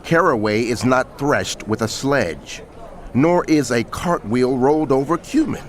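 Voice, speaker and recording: A man's voice: medium pitch, lively intonation, a little slow, a little hiss or hum. A man narrating scripture dramatically, in a declamatory and emphatic manner.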